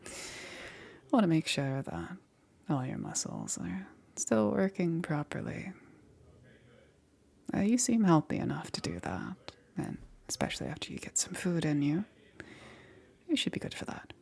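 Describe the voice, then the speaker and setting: A woman playing a lonely, gently possessive witch in an ASMR roleplay, speaking in a soft, hesitant, breathy murmur that often drops into a whisper. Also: sits low, wildly swooping pitch, a little slow, long pauses, full character voice. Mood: wistful, tender.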